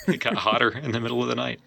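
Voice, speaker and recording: A man's voice: mid-range voice, huge pitch swings, brisk, gravelly, bright, forceful tone, slight background noise. A man co-hosting a podcast, talking casually with his friends. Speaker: podcast co-host. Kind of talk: casual chat.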